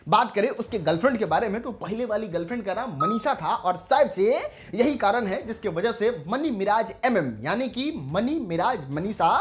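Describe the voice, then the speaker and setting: A woman's voice: medium pitch, animated, moderate pace, few pauses, slight background noise. A woman giving informal gossip commentary to viewers in a dramatic, emphatic, teasing manner. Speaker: commentary channel host.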